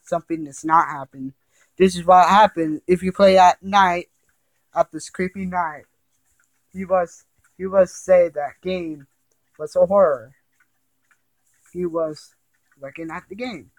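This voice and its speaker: A man with a slightly high voice tells a creepy story in an informal, amateur way. He goes slowly and haltingly, rambling and circling back over the same phrases. The sound is close and dry. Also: fairly even intonation, dry recording, low-quality recording. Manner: halting, rambling, repetitive delivery. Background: ticking and chiming clock.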